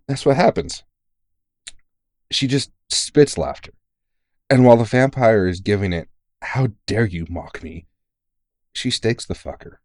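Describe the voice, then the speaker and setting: A man's voice: fairly low pitch, lively intonation, a little slow, long pauses, darkish tone, no echo, studio quality. A man playing a tired, kindly veteran vampire hunter who mentors a rookie in an informal, teasing, reassuring way, in an ASMR comfort roleplay. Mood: animated storytelling. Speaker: voice actor in audio roleplay.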